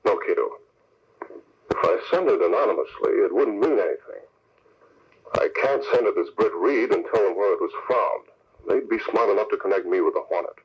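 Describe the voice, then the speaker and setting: A man's voice: on the low side, animated, a little fast, slightly rough. A man playing a shrewd, confident masked crime-fighter in an old radio drama, explaining with authority. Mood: deliberating. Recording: noisy, muffled old radio recording.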